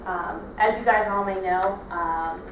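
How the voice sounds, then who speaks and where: A young woman with a Wisconsin accent giving a training talk, halting with many fillers and restarts. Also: medium pitch, background noise. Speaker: party trainer. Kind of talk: training presentation. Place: meeting room. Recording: echoey room, mic far off.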